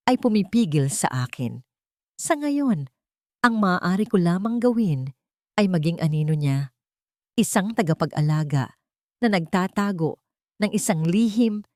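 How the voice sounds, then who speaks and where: A woman's voice: mid-range voice, dry recording, clean studio sound. A woman reading scripted first-person narration in a dramatic storytelling style.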